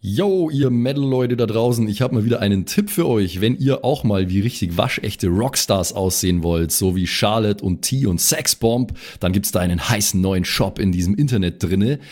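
Low-voiced man doing a slangy promo. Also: expressive, normal speed, few pauses, darkish tone. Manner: tongue-in-cheek rocker slang. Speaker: show regular promoting merchandise.

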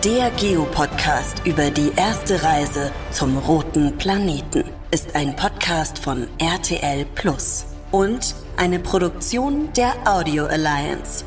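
A woman reading out podcast credits in a slow, deliberate announcing voice. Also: low-pitched, animated, over music.

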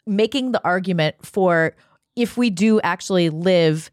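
A woman's voice: fairly low pitch, measured pace, dry recording, clean studio sound. A woman co-hosting a podcast in casual, conversational chat. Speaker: podcast co-host.

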